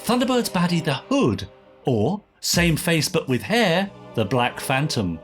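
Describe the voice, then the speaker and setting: A man with a fairly high voice co-hosts a podcast in casual banter. His pitch swings very widely as he talks, with music clearly playing behind him. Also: big pitch swings, a little slow. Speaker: podcast co-host.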